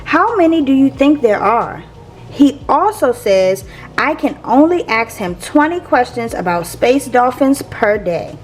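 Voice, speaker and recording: A woman reading a picture book aloud to young listeners in a playful, expressive teacher's manner. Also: sits high, measured pace, soft background music. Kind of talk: expressive children's read-aloud.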